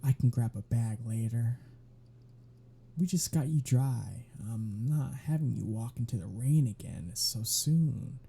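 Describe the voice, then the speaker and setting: Man acting a husky, mellow, teasing vampire. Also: medium pitch, measured pace. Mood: playful, caring. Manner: wry, bantering, soft-spoken. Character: teasing, protective male vampire, dry and flirtatious. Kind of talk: intimate ASMR roleplay acting.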